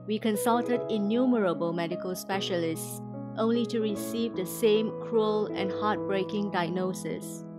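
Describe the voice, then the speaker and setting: A woman from Indonesia reads a scripted inspirational testimony in a formal, measured way. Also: medium pitch, loud music behind the voice. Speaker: testimony speaker.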